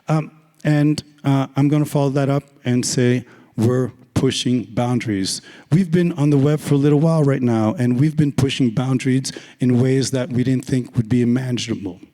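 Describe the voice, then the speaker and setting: A hoarse man with a raspy voice giving a casual, joking conference talk, full of fillers. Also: medium pitch, steady tone, normal speed, dark tone. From Canada. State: hoarse, lost voice. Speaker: conference speaker. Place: large conference hall. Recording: long hall reverb.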